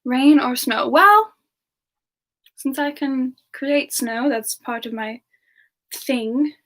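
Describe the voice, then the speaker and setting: Girl doing a soft high slow ice singer lady, modest n giggly, lovestruck, asmr roleplay stream. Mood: chatty, relaxed. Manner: soft, giggly, endearing chatter with pet names. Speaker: character streamer answering chat questions. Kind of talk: semi-ASMR in-character livestream Q&A.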